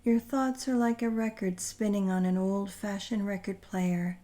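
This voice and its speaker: A woman narrating a scripted guided meditation in a soothing, gentle, deliberate manner with pauses. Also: mid-range voice, fairly even intonation.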